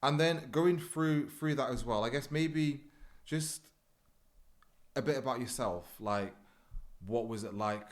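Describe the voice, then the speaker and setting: A man's voice: mid-range voice, measured pace, long pauses. A young man hosting a podcast, chatting casually and rambling with lots of fillers. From not born in the UK. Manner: relaxed, rambling with many fillers. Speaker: podcast host. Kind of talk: casual chat.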